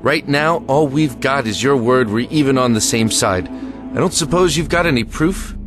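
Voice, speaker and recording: A man's voice: medium pitch, normal speed, lightly acted. American army lieutenant, played by a man, music behind. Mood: questioning, firm. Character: weary, guarded American army lieutenant, male, commanding. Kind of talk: audio drama acting. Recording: music under the voice.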